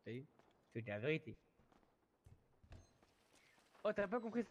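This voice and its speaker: Man, high-pitched, casual slangy roleplay banter, pushy and repetitive delivery. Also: very animated, normal speed, muffled recording. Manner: insistent, repeats phrases. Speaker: player roleplaying a car dealership worker.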